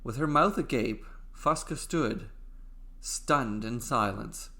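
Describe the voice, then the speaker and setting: A man narrating a scripted story in a dramatic, deliberate way, with pauses between phrases. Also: medium pitch, creaky, bright, forceful tone.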